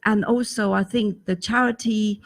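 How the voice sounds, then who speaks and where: A woman's voice: mid-range voice, steady tone, moderate pace, creaky. A middle-aged woman from Taiwan, a guest in an interview, speaking earnestly and searching for words in a language that is not her first. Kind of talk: interview conversation.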